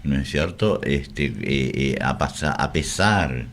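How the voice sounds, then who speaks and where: A man's voice: rumbling low, expressive, slow pace, slight background noise. A man from Argentina with a Buenos Aires accent, a wry radio guest who hesitates with many fillers. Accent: Buenos Aires Spanish. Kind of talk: casual radio conversation.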